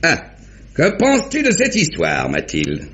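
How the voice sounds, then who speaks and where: An actor playing a genial, methodical police inspector, recapping deliberately in a theatrical mid-century French radio mystery manner. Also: male voice, fairly high pitch, huge pitch swings, fairly bright tone, full character voice. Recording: dull vintage radio drama recording.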